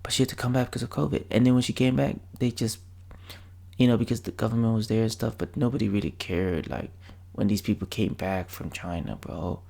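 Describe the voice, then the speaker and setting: A man's voice: on the low side, fairly even intonation, a little fast, vocal fry, a little mellow. A man doing a rambling, slang-filled reaction commentary on a video. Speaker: reaction vlogger.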